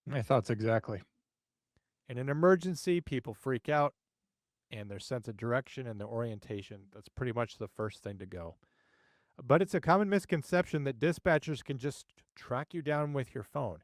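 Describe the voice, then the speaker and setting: A man's voice: medium pitch, normal speed, dry recording. Man presenting case analysis, dry close sound. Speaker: host, active 911 dispatcher.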